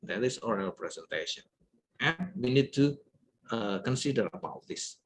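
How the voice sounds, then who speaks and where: A man's voice: medium pitch, lively intonation, normal speed, a little hiss or hum. Man lecturing, "yeah" fillers. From Indonesia. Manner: repetitive fillers, frequent "yeah" tags. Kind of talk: online lecture.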